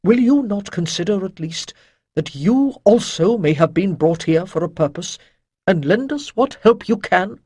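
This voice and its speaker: Casual: measured noble mage speaking gravely, voiced by a reader. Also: medium pitch, animated, dark tone, no echo. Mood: solemn. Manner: formal, measured, archaic phrasing. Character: calm, lofty male mage under strain.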